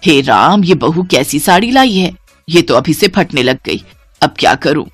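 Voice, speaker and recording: A woman voicing a bossy older mother-in-law who commands and scolds her daughters-in-law, in a lower voice than her narration. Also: expressive, moderate pace, slightly rough, no room sound, soft background music. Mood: angry scolding.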